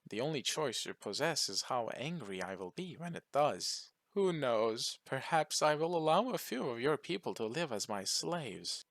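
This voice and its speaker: A man's voice: mid-range voice, sing-song, moderate pace, hardly any pauses, a bit bright. A man performs a sadistic alien war champion for an audio story. He is haughty and menacing, addressing his victims with mock politeness. The sound is dry and free of room echo. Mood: haughty gloating.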